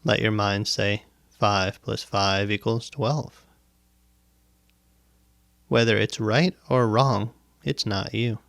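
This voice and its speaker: A man guiding a meditation as a teacher, speaking in deliberate, instructive phrases with pauses and repetition, close to the microphone. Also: on the low side, fairly even intonation, gravelly, fairly bright tone, no room sound. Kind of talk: guided meditation, spoken instruction. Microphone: close microphone, dry sound.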